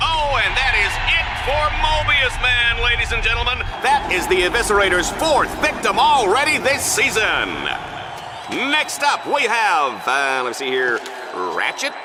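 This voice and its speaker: An actor playing a flippant, showy TV sports anchor with a glib manner, doing parody commentary in a video game. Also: male voice, very high-pitched, expressive, unhurried. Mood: mocking. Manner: glib, mocking. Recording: music behind the voice.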